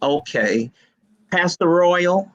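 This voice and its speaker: A jovial man moderates a live panel over a video call, teasing his guests as he fires off questions. His voice is bright and forceful and sits a little high for a man. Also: lively intonation, drawn-out words, lo-fi sound. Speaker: host and moderator.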